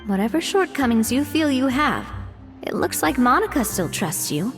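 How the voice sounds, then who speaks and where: A woman's voice: sits high, normal speed. A woman voicing a confident young female investigator in a video game, encouraging and persuasive. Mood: reassuring. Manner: reassuring, expressive. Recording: long reverb with music behind.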